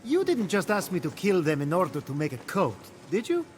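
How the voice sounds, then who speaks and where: An actor playing an earnest, plucky young hero in a video game, sincere, dry and determined. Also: male voice, high-pitched, wildly swooping pitch, a little fast. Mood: dutiful, wary. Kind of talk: animated video game cutscene acting. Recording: music clearly behind.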